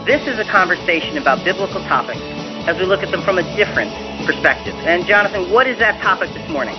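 High-voiced, lively male radio host. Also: quick pace. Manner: animated, repeats words when starting. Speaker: radio talk show co-host. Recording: dull lo-fi radio broadcast with faint music.

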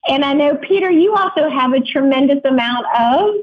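Bubbly higher-voiced woman radio co-host. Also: animated, normal speed. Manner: bubbly, laughs often, playful. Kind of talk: upbeat radio show banter and intro. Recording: dull lo-fi audio.

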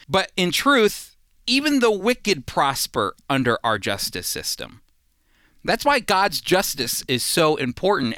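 Earnest radio bible guy, kinda high voice, dry studio sound, leans hard on points. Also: a bit bright, no echo. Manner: earnest, emphatic teaching with fillers and restarts. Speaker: radio Bible teacher, co-host.

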